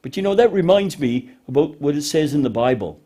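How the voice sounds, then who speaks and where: A man's voice: on the high side, expressive, a little fast, warm tone. A man hosting a children's puppet show, talking in a folksy, instructive way as he gives simple moral lessons.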